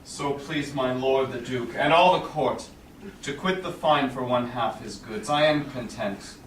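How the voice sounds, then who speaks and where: A man's voice: medium pitch, measured pace, close to an everyday voice. A man playing a solemn, magnanimous merchant in a Shakespearean stage reading. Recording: distant echoey small theatre, background noise.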